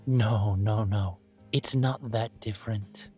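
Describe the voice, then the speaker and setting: A man's voice: lowish voice, slow pace, faint music behind. A man voicing a courteous elven café owner. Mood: gracious. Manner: polite, formal, gently self-deprecating. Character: courteous elven male coffee shop owner. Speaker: shop owner interviewing a job applicant. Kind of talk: scripted one-sided roleplay audio.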